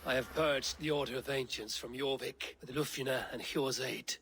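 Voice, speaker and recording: A man voicing a proud, gruff Viking warrior in a video game, speaking in measured, plain-spoken phrases. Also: medium pitch, steady tone, fairly bright tone, a little hiss or hum, lightly acted. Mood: resolute. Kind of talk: video game dramatic acting.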